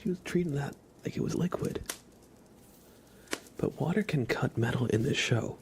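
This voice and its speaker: A man chatting casually and softly as an ASMR livestream host, often slipping into a whisper. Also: mid-range voice, normal speed, vocal fry, a little hiss or hum. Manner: soft-spoken, often dropping into a whisper. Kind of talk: ASMR, casual rambling chat with live viewers.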